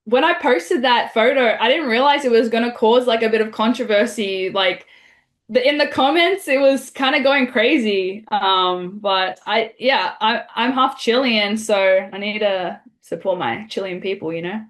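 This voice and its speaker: A young woman from Australia answering interview questions in a relaxed, chatty way, with many fillers. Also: a little high, moderate pace. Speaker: interview guest.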